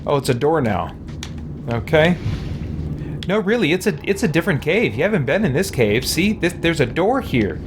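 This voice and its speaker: A man giving casual let's play commentary, reacting out loud and talking to the game's characters. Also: a little high, sing-song, moderate pace, background noise. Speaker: gaming show host. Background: video game music.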